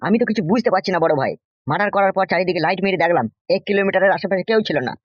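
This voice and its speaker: Man acting a high-pitched, rapid-fire cartoon henchman. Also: no room sound, lo-fi sound, natural voice. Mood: baffled. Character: crude, eager henchman loyal to his crooked boss. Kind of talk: animated comedy cartoon dialogue.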